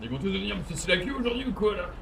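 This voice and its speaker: Young male gamer, high-pitched and crude. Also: sing-song, very fast, creaky, background noise. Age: young adult. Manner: crude, argumentative. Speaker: game streamer. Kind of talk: casual in-game roleplay banter.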